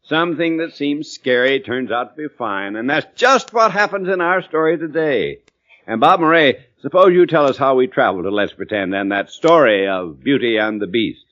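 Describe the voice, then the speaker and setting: A man's voice: medium pitch, animated, normal speed, fairly bright tone. A man hosting and telling stories on an old-time children's radio show. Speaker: host and storyteller of children's show. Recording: dull lo-fi old radio recording.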